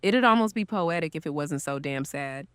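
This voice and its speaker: A woman's voice: deep voice, lively intonation, normal speed, clear, resonant tone, dry recording, clean studio sound. A woman playing a blunt, breezy, good-humored friend in an audio drama, talking casually and irreverently. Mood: teasing.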